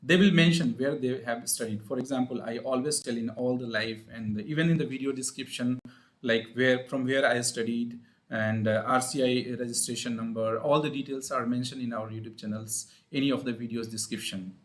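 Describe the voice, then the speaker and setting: Indian-accented man explaining things, haltingly. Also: mid-range voice, fairly even intonation. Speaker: speech-language pathologist hosting an advice livestream. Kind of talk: informal explanatory live talk to viewers.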